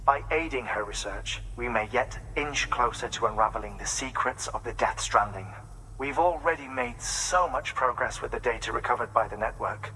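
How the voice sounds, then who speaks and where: An actor playing a courteous, formal male scientist in a video game cutscene, speaking in a polite, measured way. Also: mid-range voice, bright, forceful tone, slight background noise, low-quality recording.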